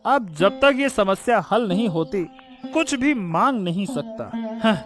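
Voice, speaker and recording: A man's voice: high voice, lively intonation, unhurried, music in the background. A man playing a greedy, cowardly minister in a cartoon story, flustered and repeating his words nervously. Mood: worried, sulky.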